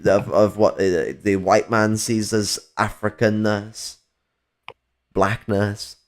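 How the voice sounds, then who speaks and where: Rambling Northern English man, low voice. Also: fairly even intonation, slow. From Northern England. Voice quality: slightly whiny. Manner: rambling, swears often, many fillers and restarts. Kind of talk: casual political chat on a stream.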